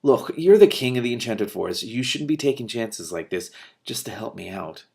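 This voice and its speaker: A man's voice: mid-range voice, very animated, moderate pace, gravelly, a little mellow. A man voicing a blunt, protective storybook princess who challenges others in a pointed, impatient way. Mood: concerned. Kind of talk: expressive audiobook-style character reading.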